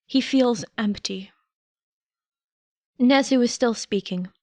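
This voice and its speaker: A woman's voice: fairly high pitch, slow pace, a little mellow, dry recording. A woman reading a story aloud as a scripted audiobook narrator, close to the microphone. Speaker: podfic narrator. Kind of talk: scripted narration of fan fiction.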